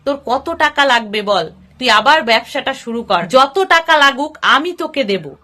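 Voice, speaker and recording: A woman's voice: sits high, expressive, moderate pace, bright, forceful tone. A woman storyteller voicing a generous, earnest poor male farmer. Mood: generous, excited.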